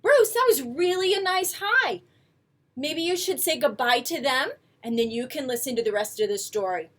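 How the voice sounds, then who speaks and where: A woman storyteller reading a picture book aloud to young children, with expressive, coaxing asides to her listeners. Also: sits high, normal speed.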